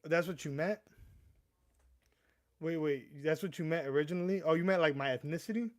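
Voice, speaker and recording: A man's voice: on the high side, normal speed. A young man from the US East Coast hosting a casual livestream, rambling with frequent stammers and restarts and calling viewers "bro". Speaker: livestream host. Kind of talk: casual live chat.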